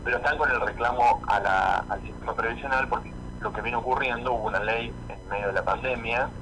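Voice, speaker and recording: A man with an Argentine accent giving spoken political and economic commentary as a radio columnist, halting and repeating words as he thinks aloud. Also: mid-range voice, background noise.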